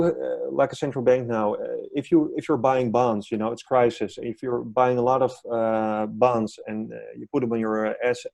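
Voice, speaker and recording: A man's voice: mid-range voice, gravelly, dark tone, dry recording. A man from the Netherlands with a Dutch accent, speaking English as a second language as a guest analyst, explaining while searching for words. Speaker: gold market analyst guest. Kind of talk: interview conversation.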